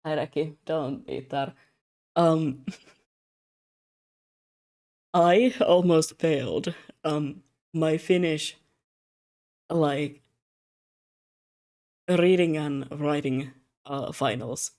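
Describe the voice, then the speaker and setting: A young adult streamer chats loosely on a streaming mic while drawing commissions. The voice sits at a middle pitch and sounds tired, with words slurring a little. The talk rambles and turns self-mocking, broken by frequent laughs. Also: drawn-out words, pauses often, darkish tone. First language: Russian. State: tired, slightly slurred speech. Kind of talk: casual live-stream chat.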